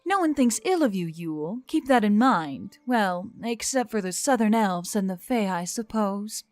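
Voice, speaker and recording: A woman voicing a gentle, earnest otherworldly woman in audiobook dialogue, reassuring and measured in formal phrasing. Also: mid-range voice, expressive, no echo, faint music behind, natural voice. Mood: earnest, teasing.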